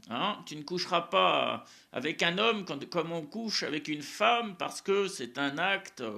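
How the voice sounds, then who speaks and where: A man's voice: fairly high pitch, gravelly, sharp tone. A man whose first language is Spanish, giving a casual, rambling religious monologue to camera, often repeating words and tagging his sentences with small fillers. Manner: rambling, repeats words, frequent "hein" and "euh".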